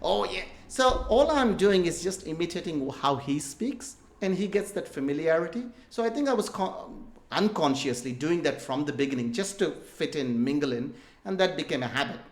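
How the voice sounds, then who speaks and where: A man's voice: fairly high pitch, lively intonation, moderate pace. Half-Aussie Indian man telling stories. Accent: Indian English with Australian influence. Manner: rambling, self-deprecating, jokey storytelling. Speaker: guest entrepreneur. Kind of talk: casual interview talk. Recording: roomy echo.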